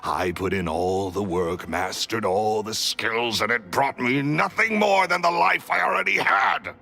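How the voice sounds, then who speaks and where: A man's voice: fairly low pitch, expressive, measured pace, vocal fry, pushed and bright, full character voice. An actor playing a resentful male magician's apprentice turned thief, confessing in a brooding, bitter way in a video game. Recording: faint music behind.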